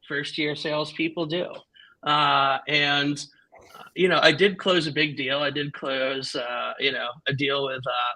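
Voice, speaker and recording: A man's voice: fairly high pitch, sharp tone, low-quality recording. A man in his thirties co-hosting a casual tech chat, rambling and padding his talk with fillers. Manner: rambling, full of "like" and "you know". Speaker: podcast co-host.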